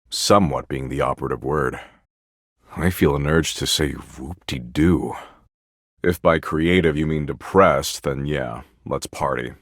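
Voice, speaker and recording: An actor playing a gloomy, sulky, sarcastic nihilist in a video game, grumbling in a morose deadpan. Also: male voice, rumbling low, dry recording, clean studio sound, heavily acted. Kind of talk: video game character acting.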